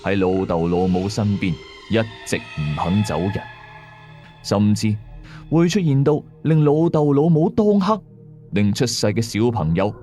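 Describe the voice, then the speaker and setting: Male actor as seasoned exorcist narrator, Hong Kong Cantonese, low and animated, moralizing, conversational asides, scripted radio horror over music. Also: unhurried. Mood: ominous. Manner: explanatory, conversational asides to listeners. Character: male veteran ghost exorcist narrating his cases, matter-of-fact, moralizing. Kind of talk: scripted first-person horror storytelling.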